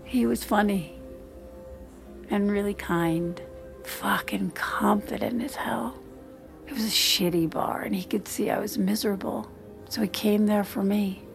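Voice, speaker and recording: A woman's voice: medium pitch, fairly even intonation, measured pace, gravelly. A woman acting in an audio drama as a reserved married mother in her thirties, confiding in a hesitant, self-deprecating way. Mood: wistful. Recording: music playing behind the voice.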